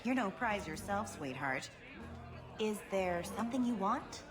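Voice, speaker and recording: An actress playing a brassy, worldly brothel keeper in a video game, with a sassy, offhand manner. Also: female voice, mid-range voice, animated, unhurried, lightly acted. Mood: sassy, dismissive. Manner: sassy, offhand, calls people honey. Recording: game audio with music behind.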